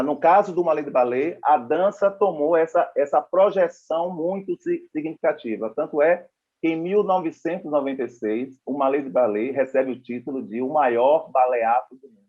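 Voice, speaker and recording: A man's voice: a little high, a little mellow. Man moderating a seminar, dull sound. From Salvador, Bahia, Brazil. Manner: measured, with frequent pauses and restarts. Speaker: panel moderator. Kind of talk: formal seminar introduction.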